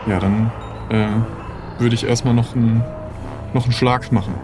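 A man with a medium-pitched voice takes part in an improvised adventure game. He thinks aloud and announces each move deliberately, with clear music behind him. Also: fairly even intonation. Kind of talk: improvised interactive audio role-play.

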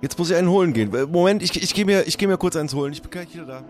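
A man's voice: on the high side, rapid-fire, a little mellow, close to an everyday voice. A man playing a flustered, forgetful shopkeeper in an improvised tabletop game, hesitant and repeating himself. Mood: flustered, indignant. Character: flustered, forgetful male shopkeeper drinking at a bar. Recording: music under the voice.

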